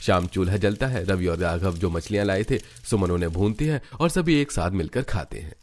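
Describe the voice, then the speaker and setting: A man reading scripted Hindi narration for an animated family story as its narrator. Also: deep voice, normal speed, a little hiss or hum. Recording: music behind the narration.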